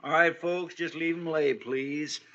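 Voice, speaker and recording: An actor playing a meek, loyal deputy sidekick with a rural American accent and a deferential, folksy manner in a radio western. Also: male voice, fairly high pitch, fairly even intonation, measured pace, natural voice. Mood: dutiful. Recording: dull, noisy old radio recording.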